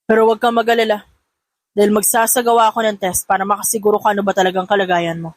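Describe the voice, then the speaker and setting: A calm male doctor from an audio drama, played by a woman narrator. He speaks in a gentle, reassuring way with quiet authority, pitched lower and recorded dry. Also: quick pace, no room sound, lightly acted. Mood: calm, explaining.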